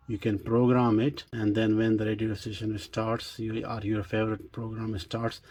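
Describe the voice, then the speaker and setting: Husky, mellow man demonstrating gear. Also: mid-range voice. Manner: halting, explanatory, non-native phrasing. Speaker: radio reviewer and demonstrator. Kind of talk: casual product demonstration.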